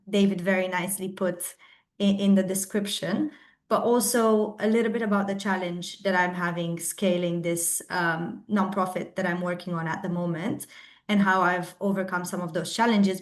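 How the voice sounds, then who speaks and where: A young woman from Romania giving a webinar talk to students in an earnest, thoughtful way, often pausing with "um", over a video call. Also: medium pitch. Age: young adult. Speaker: webinar speaker.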